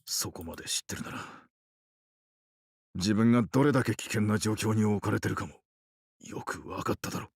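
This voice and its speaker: Stern, low, husky doctor. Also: male voice, rapid-fire, long pauses, dry recording, studio quality. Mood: cold, stern. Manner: muttering, restrained. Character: stern, guarded male doctor, cold when angered. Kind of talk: Japanese visual novel voice acting.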